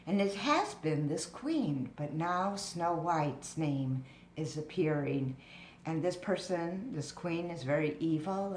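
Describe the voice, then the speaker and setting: Woman, craft presenter, slow measured delivery, explanatory storytelling style, roomy echo, noisy recording. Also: fairly low pitch. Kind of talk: informal craft demonstration with storytelling. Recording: roomy echo with noticeable background noise.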